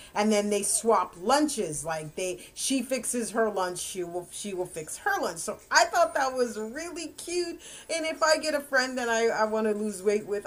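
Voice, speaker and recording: A woman's voice: medium pitch, fairly bright tone. A woman in her fifties giving a rambling, casual book review, often correcting herself and laughing. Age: 50s.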